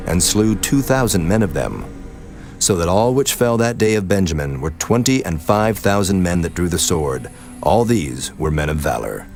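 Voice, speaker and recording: Deep-voiced solemn male scripture narrator. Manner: measured, formal. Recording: prominent music behind the voice. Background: music.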